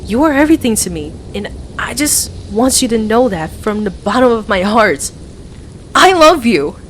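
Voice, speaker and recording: A woman's voice: a little high, sing-song, normal speed, a little hiss or hum. A woman playing a playful, affectionate young best friend with a hesitant, earnest way of talking, in an ASMR roleplay audio. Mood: earnest confession.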